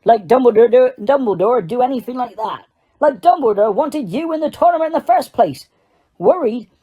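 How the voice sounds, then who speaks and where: A woman's voice: a little high, animated, moderate pace, husky, narrow, tinny audio, voice pushed up. A woman voicing a huge, hot-tempered male gamekeeper who talks in a blunt, growling way. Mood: indignant.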